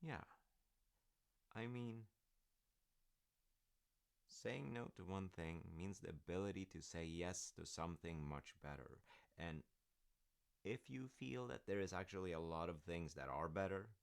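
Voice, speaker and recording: A man playing a supportive, rambling dad in a roleplay audio, talking gently and hesitantly to his grown child in a gravelly voice. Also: low-pitched, heavily acted. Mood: reassuring, then musing, encouraging. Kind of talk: roleplay audio, one-sided conversation.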